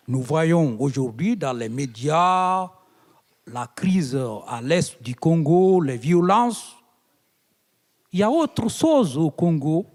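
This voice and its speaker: A man from Guinea addresses a conference in a deliberate, unhurried way. His fairly high voice swells into emphatic, oratorical appeals. The sound carries a long reverb from the hall. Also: darkish tone. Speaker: invited guest speaker. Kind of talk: conference speech.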